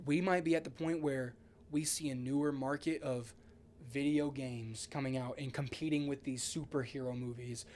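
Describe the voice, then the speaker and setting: A man hosting a casual podcast, talking excitably in slang, swearing freely and repeating himself for emphasis. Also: mid-range voice, normal speed, slight background noise. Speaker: podcast host.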